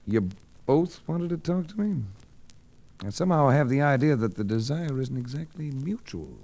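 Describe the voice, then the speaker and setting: An actor playing a wry private investigator who narrates his case in dry, measured 1940s radio drama style. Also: medium pitch, animated, vocal fry, darkish tone. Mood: irritated, sardonic. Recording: noisy vintage 1940s radio recording.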